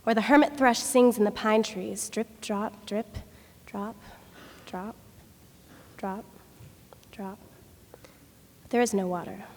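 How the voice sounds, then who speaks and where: A woman's voice: medium pitch, steady tone, slow, pauses often, darkish tone. Female reader, mid-range voice, theatrical poetry delivery, echoing hall acoustics. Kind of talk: dramatic poetry recitation. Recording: reverberant hall recording.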